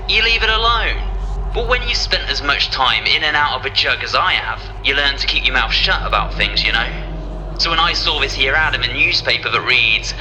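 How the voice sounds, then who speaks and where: A man's voice: mid-range voice, bright, forceful tone, a little hiss or hum, rough recording. A man playing a guarded, street-hardened ex-convict in a video game audio log, talking in a tough colloquial way.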